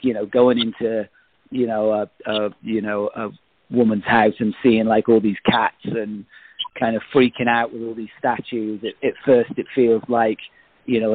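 A man's voice: lowish voice, dry recording. A man reflecting tentatively and casually in a panel discussion, over narrow call audio. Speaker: cast interview panelist.

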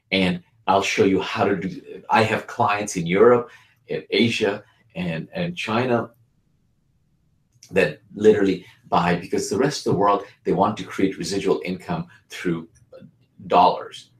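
Guy from bangladesh pitching hard, kinda low voice, echoey room. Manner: energetic, persuasive, emphatic. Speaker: real estate investor pitching a program. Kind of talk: sales pitch talk. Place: Tampa, Florida.

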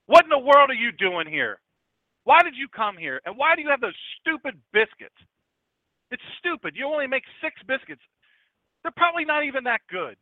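A bitter, furious young mother acted out by a male storyteller rants with biting sarcasm. The voice is pushed high and loud, in a slightly dull recording. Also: sing-song, moderate pace, no room sound. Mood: scornful anger. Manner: scornful, ranting, sarcastic.

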